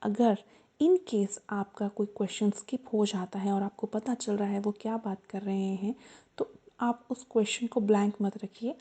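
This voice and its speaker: A woman tutor giving an explanatory lesson to students, earnest and repeating her reminders, slowing down to spell out the key points. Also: medium pitch, warm tone, husky. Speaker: exam-prep tutor.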